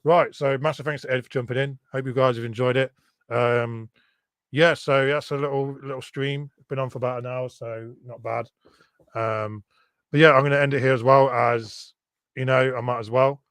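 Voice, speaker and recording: A man's voice: medium pitch, fairly even intonation, normal speed, fairly bright tone, dry recording. A man hosting a live stream, easygoing and hesitant, with plenty of ums as he chats and signs off. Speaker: YouTube streamer host. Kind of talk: casual live stream chat. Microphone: laptop.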